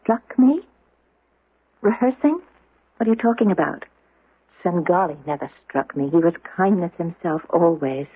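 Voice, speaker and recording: An actress playing a sweet, confused young woman with a fogged memory in a 1970s radio drama, speaking in a breathy, wondering, faltering way. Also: fairly low pitch, lively intonation, normal speed, long pauses, no room sound. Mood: puzzled. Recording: muffled, narrow-band old radio broadcast.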